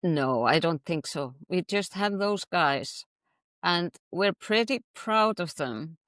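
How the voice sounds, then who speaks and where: A woman from Iceland, a guest chatting easily with many hesitant pauses. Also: lowish voice, lively intonation, fairly bright tone, dry recording. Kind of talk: casual interview chat.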